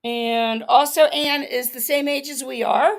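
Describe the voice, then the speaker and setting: A woman's voice: high voice, fairly even intonation, unhurried, creaky. A woman in her fifties speaking on a livestream, defiant and sarcastic, breaking into laughs. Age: 50s. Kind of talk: casual livestream chat.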